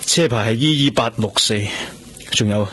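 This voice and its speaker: 一位男演員用香港粵語，喺廣播劇入面演一個悲痛而執著、講嘢沉鬱又急切嘅男警探。. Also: male voice, medium pitch, normal speed, natural voice. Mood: urgent. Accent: Hong Kong Cantonese. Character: grieving, obsessed male police detective, intense and anguished. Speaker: lead actor and narrator. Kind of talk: Cantonese radio drama acting with brooding narration. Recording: loud music under the voice. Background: dramatic music.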